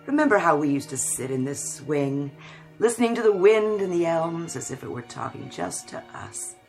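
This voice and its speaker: An actress playing an affectionate, middle-aged, long-married wife in a radio drama, tender in manner. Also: female voice, low-pitched, big pitch swings, moderate pace, a little mellow. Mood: tender, nostalgic. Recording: radio drama with music behind.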